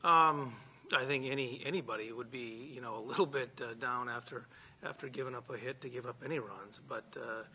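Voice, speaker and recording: A man's voice: mid-range voice, brisk, bright, forceful tone, a little hiss or hum. A middle-aged man coaching college baseball, giving blunt postgame answers at a press conference table mic, often repeating words as he starts. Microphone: table microphone.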